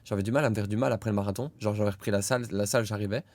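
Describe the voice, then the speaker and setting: Low-voiced young man chatting casually. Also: fairly even intonation, racing, no room sound. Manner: laughs loudly, many fillers. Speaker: podcast co-host. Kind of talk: casual chat.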